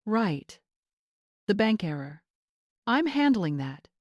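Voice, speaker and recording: A woman's voice: medium pitch, sing-song, slow pace, no echo, lo-fi sound, pitched above the performer's own voice. A woman voicing a scheming, imperious bride in an audiobook, theatrical and syrupy with a snappish edge. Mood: tight, fake-sweet. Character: scheming social-climbing bride, fake-sweet and imperious.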